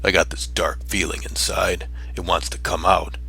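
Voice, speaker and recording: A man voicing a brooding young fighter in a cartoon parody dub, comically gloomy with a raspy voice. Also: low-pitched, flat delivery, moderate pace, sharp tone, soft background music, heavily acted.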